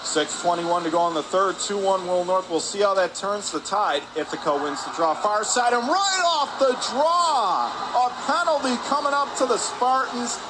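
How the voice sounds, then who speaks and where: A man's voice: high voice, expressive, moderate pace, few pauses. A man doing live radio play-by-play of ice hockey in short, emphatic running phrases. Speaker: play-by-play commentator. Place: indoor ice hockey arena. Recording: noisy arena ambience behind.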